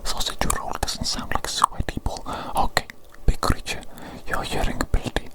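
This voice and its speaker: A woman whispering softly into a close microphone, repeating each phrase and gently teasing as an ASMR doctor roleplay. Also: normal speed. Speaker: ASMR creator. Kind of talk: ASMR roleplay of a medical exam.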